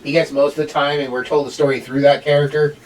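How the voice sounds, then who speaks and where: A middle-aged man giving a casual, rambling review in a halting way, as a host. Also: a little high, level tone. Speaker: amateur reviewer hosting with a child. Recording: distant mic, home recording.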